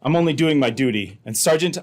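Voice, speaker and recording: A man's voice: fairly high pitch, animated, a little fast, natural voice. A man playing a stern but lenient company boss in an old-time radio drama, firm and exasperated. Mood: weary, firm.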